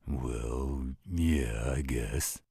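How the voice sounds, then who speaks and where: A man playing a gruff, overprotective werewolf guardian in an audio roleplay, gravelly and husky, with a protective, fatherly manner. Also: rumbling low, drawn-out words, warm tone, no echo, studio quality, heavily acted. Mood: reluctant, reflective. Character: gruff, overprotective male werewolf guardian, tender toward his ward.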